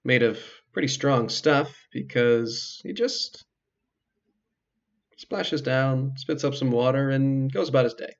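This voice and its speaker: A man's voice: medium pitch, slow, gravelly. A man giving casual let's play commentary as the host of a video game playthrough. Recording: slightly muffled sound, music behind. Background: game music.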